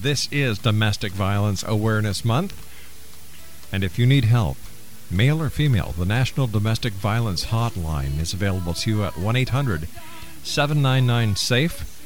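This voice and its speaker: A man hosting a radio talk show, steadily reading out phone numbers and station plugs between segments. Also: fairly low pitch.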